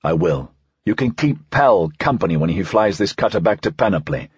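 Audiobook senior officer, man acting: low, husky, terse, world-weary, dryly sardonic authority. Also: expressive, normal speed, no room sound, muffled recording, exaggerated voice. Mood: dry, curt. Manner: terse, dry, formal. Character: weary, stern male senior police prefect, dryly sardonic. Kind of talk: audiobook character dialogue.

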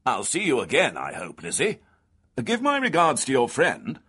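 A man voicing a genteel, cunning old gentleman with a suave, drawling manner. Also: medium pitch, wildly swooping pitch, normal speed, breathy edge, full character voice.

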